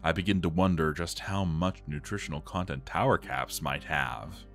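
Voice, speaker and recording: A man's voice: rumbling low, normal speed, fairly bright tone. A man voicing a gloomy, scornful dwarf settlement leader, reading his journal aloud in a theatrical, emphatic way. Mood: wry, emphatic. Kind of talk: dramatic journal narration over a game. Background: music.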